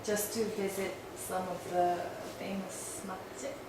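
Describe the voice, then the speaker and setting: A woman's voice: mid-range voice, level tone, moderate pace, far from the mic. A woman introducing herself in a casual group talk, candid and reflective with a few fillers. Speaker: meetup participant. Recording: echoey room, voice from afar, background noise.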